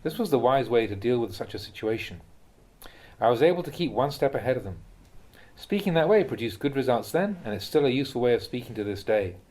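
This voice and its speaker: A man with a mid-pitched voice reads spiritual teachings in the style of an audiobook. His delivery is measured and instructive, and each phrase is clearly set out. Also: very animated, darkish tone. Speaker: reader of translated Buddhist teachings.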